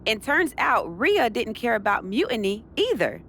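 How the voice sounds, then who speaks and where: Casting: determined, self-assured wrestler for game dialogue, expressive, slightly high voice. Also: female voice, normal speed, slight background noise. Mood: determined, indignant. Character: confident, determined female pro wrestler. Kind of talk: scripted game cutscene dialogue.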